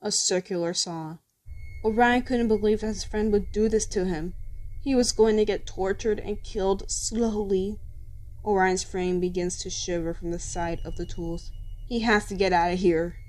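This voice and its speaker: A woman's voice: mid-range voice, steady tone, moderate pace, darkish tone, poor audio. A woman storyteller reading scripted fan fiction as dramatic narration.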